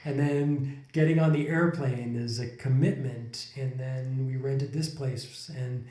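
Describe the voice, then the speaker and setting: A man's voice: medium pitch, steady tone, unhurried, mellow, echoey room. A man in his forties, co-hosting a podcast in casual conversation, reflective and rambling, often restarting his sentences. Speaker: podcast co-host. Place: indoor room, sitting on a couch. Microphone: desk condenser mic in front of couple.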